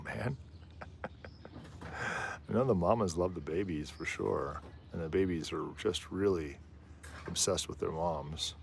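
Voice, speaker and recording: Man, deep low voice, casual rambling live-stream host, outdoors, faint background noise. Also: gravelly. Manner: rambling, easygoing, often trails off and laughs. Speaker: livestream host. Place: outdoors on a rooftop.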